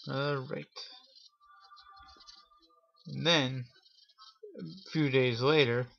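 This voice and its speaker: Slow-talking man telling spooky stories, lo-fi. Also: mid-range voice, steady tone, faint music behind. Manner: theatrical showman address with drawn-out pauses. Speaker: host of a Halloween story show. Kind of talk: spooky storytelling to an audience. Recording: dull lo-fi audio with clear noise.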